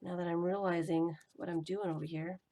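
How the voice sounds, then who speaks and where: A woman crafting and talking to herself as she works, her voice going hoarse. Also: on the low side, fairly even intonation, fairly quick, warm tone. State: voice giving out, hoarse. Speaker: collage journaling vlogger. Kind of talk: casual running commentary while crafting.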